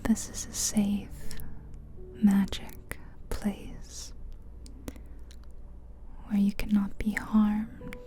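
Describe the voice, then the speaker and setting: Slow whispering woman, guided relaxation. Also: medium pitch, completely flat delivery, warm tone. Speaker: ASMR host. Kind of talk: ASMR guided visualization. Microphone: close mic, ear to ear.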